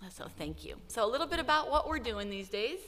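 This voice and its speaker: A woman's voice: fairly high pitch, very animated, brisk, a bit bright, in a large hall. A woman in her forties, a missionary telling a heartfelt story of her work to supporters. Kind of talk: church missions update.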